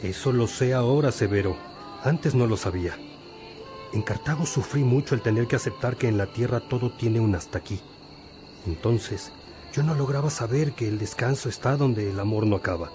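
A man's voice: mid-range voice, moderate pace, music in the background, lightly acted. An actor playing a wise, patient older priest who teaches his disciples reflectively in a religious radio drama. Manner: reflective, didactic.

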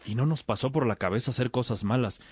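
A man playing an earnest, bookish young disciple in a radio drama, with a husky voice and deferential manner. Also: medium pitch, fast talker, darkish tone, slight background noise, close to an everyday voice. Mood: earnest, questioning.

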